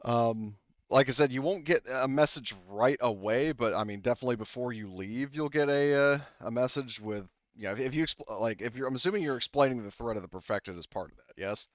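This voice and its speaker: A man's voice: mid-range voice, brisk, no room sound. Rambling man running a game. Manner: casual, rambling with many fillers. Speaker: game master. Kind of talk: running a tabletop roleplaying game. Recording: dry, slightly dull lo-fi audio.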